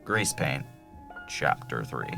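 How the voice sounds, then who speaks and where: A man performs a solemn audio drama announcer with a very low, gravelly voice. He delivers terse headings slowly, with big drops in pitch, as music plays underneath. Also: big pitch swings, exaggerated voice. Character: solemn male announcer reading out case details. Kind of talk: slow, clipped scene-heading narration.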